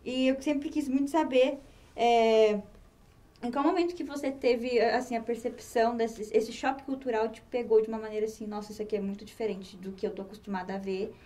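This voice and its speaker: Female student interviewer, rapid and effusive, mellow voice, picked up from a distance. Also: a little high, steady tone. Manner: warm, effusive, thankful. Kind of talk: casual interview questions. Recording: voice distant from mic.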